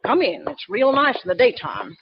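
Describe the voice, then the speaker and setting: A woman's voice: a little high, wildly swooping pitch, moderate pace. A chatty, nosy landlady who rattles on in a homely way. A faint hiss sits under the dull sound of a 1940s radio recording.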